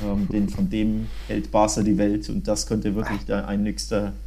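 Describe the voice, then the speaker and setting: A man's voice: lowish voice, monotone, normal speed, mellow. A man chatting casually and colloquially as co-host of a football podcast. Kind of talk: casual chat, recounting match visits.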